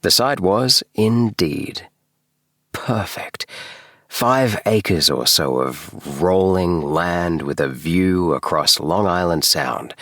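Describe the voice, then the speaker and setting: A male audiobook narrator reads a bedtime story at a slow pace with plenty of gravelly creak. His delivery is expressive and measured, and the small booth gives a very dry sound. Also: sits low, no room sound. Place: small DIY recording booth.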